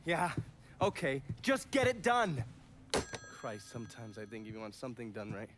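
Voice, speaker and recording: An actor playing a cynical, foul-mouthed agent with an American accent, blunt and sardonic, in a video game. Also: male voice, mid-range voice, normal speed, very noisy, lightly acted. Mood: irritated. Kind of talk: video game cutscene acting.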